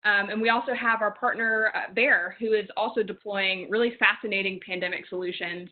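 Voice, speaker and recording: A woman's voice: medium pitch, steady tone, a little fast. A woman moderating a virtual panel who introduces projects and guests in an organized way, with frequent "um"s. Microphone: video call. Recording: phone-like call audio.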